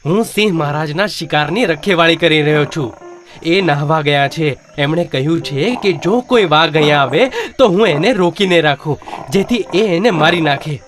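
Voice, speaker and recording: An actor voicing a sly, scheming jackal in an animated moral story for children. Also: mid-range voice, normal speed, over music, natural voice. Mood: urgent warning.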